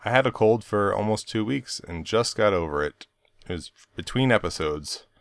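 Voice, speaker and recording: A man's voice: lowish voice, animated, normal speed, creaky, dry recording, clean studio sound. A man with a nasal voice co-hosting a casual podcast, talking in a laid-back, wry way. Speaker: podcast co-host. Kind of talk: casual chat.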